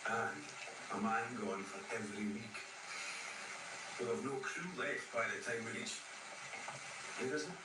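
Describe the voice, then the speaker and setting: A man's voice: fairly low pitch, measured pace, long pauses, close to an everyday voice. An actor playing an uneasy, superstitious, complaining sailor in a radio drama, brooding as he talks. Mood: grumbling. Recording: roomy, distant playback with loud noise.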